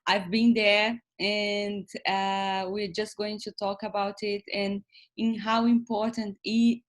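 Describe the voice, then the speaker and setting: A woman in her thirties, not a native English speaker, co-hosting a casual chat and speaking hesitantly with many fillers and restarts. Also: mid-range voice. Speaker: co-host.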